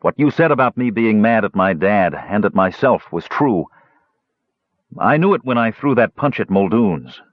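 Guy doing a sulky young dwarf nephew for a fantasy story, blunt, defensive, pitch jumps around, slightly dull sound. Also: animated, normal speed, no room sound. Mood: sheepish. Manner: belligerent, blunt, informal. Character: sullen, stubborn young male dwarf nephew, defensive, grieving.

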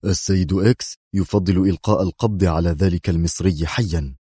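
A man's voice: low voice, steady tone, brisk, warm tone, breathy edge, dry recording, studio quality. A man voicing a stern, ferocious villainous general in an audio drama, commanding and menacing. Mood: fierce, stern.

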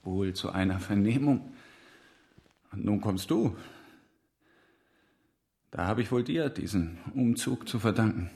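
An actor playing a dazed, dutiful wounded prisoner in a naturalistic German radio drama. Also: male voice, fairly low pitch, measured pace, vocal fry, long pauses, darkish tone. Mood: puzzled, groggy.

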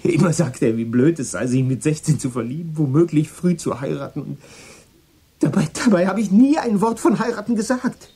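Troubled teenage boy, very husky, mellow, halting and agitated, radio drama. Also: fairly high pitch, animated. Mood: bitter, agitated. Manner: halting, agitated, repeats words. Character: troubled, guilt-ridden sixteen-year-old American boy confessing. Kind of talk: German radio drama acting.

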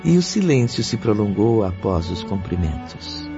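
A man's voice: fairly low pitch, big pitch swings, normal speed. A man reading scripted narration for a religious radio drama. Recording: dull lo-fi audio under music.

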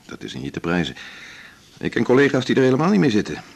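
An actor playing a reflective, principled male doctor in a naturalistic radio drama, speaking in a measured, world-weary way. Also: medium pitch, huge pitch swings, creaky, exaggerated voice. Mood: resigned, sympathetic.

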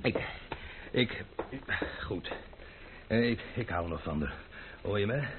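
Proud heartbroken drinker, agitated and repetitive, old radio play with narrow, lightly noisy sound. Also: male voice, on the low side, expressive, takes it slowly. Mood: resigned, confessing. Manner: agitated, rambling, repeating himself. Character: lovesick, bitter, proud man drowning his sorrows in wine. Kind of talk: radio drama acting.

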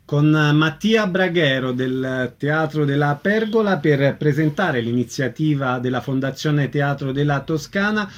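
A man's voice: on the high side, muffled recording. A man hosting a radio programme, reading scripted announcements and introducing an interview. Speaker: radio show host.

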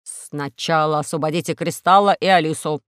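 A woman's voice: deep voice, steady tone, normal speed, clear, resonant tone, no echo, clean studio sound, pitched below the performer's own voice, natural voice. A woman voicing an indignant, demanding man with a blustering, insistent manner in a children's story. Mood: angry, demanding.

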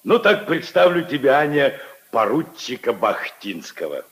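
An actor playing a portly, jovial old general and grandfather in a radio play, teasing and exclaiming in a drawn-out way. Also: mid-range voice, very animated. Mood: affectionate, teasing. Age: older. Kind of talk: 1950s Soviet radio play acting.

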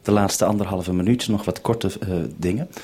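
A man with a husky voice, hosting a live radio interview. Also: deep voice, fairly even intonation, normal speed, darkish tone, slight background noise.

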